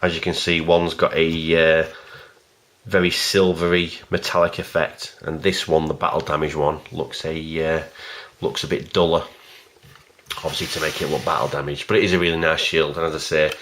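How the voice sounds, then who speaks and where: A man giving a casual, rambling video review of a collectible figure in a plain, even voice, stopping often for ums and uhs. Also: rumbling low, normal speed. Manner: rambling and hesitant, many fillers.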